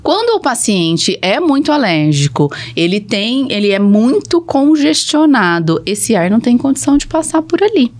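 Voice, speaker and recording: A woman's voice: on the high side, sing-song, moderate pace, hardly any pauses, a little mellow. A Brazilian woman, a guest speech therapist, explaining in a conversational, teacherly way with plain everyday words. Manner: didactic, plain everyday wording.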